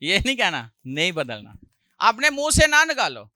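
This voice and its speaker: Male pastor, bright ringing voice, sore throat, loud hallelujah rises, jokey asides. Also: high voice, huge pitch swings, moderate pace, no echo, clean studio sound. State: sore throat, clearing his throat. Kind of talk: sermon.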